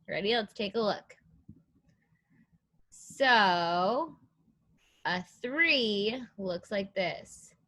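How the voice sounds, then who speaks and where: A woman's voice: on the high side, sharp tone. A woman preschool teacher reading aloud to young children, asking questions and counting in an encouraging, sing-song way with simple phrasing.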